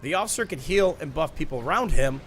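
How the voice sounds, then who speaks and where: A man with a slightly high voice narrates gameplay for his viewers in an emphatic presenter style. He draws out the words he wants to stress. Faint game ambience and noise sit behind his voice. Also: lively intonation, fast, a bit bright. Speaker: gaming YouTuber. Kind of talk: scripted-sounding gameplay commentary, addressing viewers. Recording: clear background noise.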